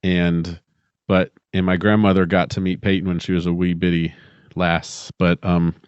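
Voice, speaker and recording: A middle-aged man chats reflectively on a podcast. His voice sits fairly low and is very clean and resonant, captured close and dry. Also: flat delivery, moderate pace, clean tone, no echo. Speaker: podcast co-host. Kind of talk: casual reflective chat. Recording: dry, slightly dull close recording.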